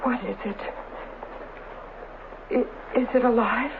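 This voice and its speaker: An actress playing a scientist's devoted, anxious wife in a radio drama, with a tender, theatrical delivery. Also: female voice, fairly high pitch, steady tone, slow. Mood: hushed, fearful. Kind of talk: 1970s radio drama acting. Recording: muffled, hissy old radio broadcast.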